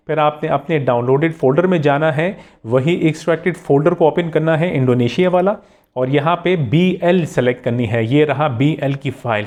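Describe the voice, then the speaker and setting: A man's voice: a little high, a little mellow. A man hosting a tech tutorial, narrating step by step in a polite, instructional way and repeating key steps.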